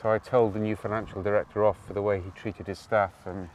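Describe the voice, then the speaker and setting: Low-voiced Welsh-raised man outdoors. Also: distant mic, a little hiss or hum. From Wales. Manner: animated storyteller, brisk asides, tag questions. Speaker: guest. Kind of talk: outdoor interview, career story. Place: outdoors on open hills.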